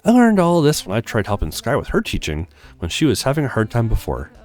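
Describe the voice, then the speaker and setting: A man's voice: medium pitch, huge pitch swings, quick pace, a little mellow. A man voicing a helpful, studious advisor in visual novel dialogue read aloud. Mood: instructive. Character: helpful, studious advisor, easily flustered, giving teaching pointers.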